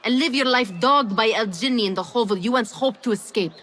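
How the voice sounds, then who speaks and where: Sardonic, challenging confidante. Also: female voice, fairly high pitch, fairly quick, a bit bright. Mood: sardonic challenge. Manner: pointed, challenging. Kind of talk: dramatic video game acting.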